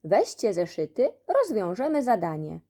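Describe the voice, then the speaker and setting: A woman's voice: mid-range voice, sing-song, normal speed, mellow. A woman voicing a bossy, self-important schoolboy, the teacher's pet, in a children's storybook.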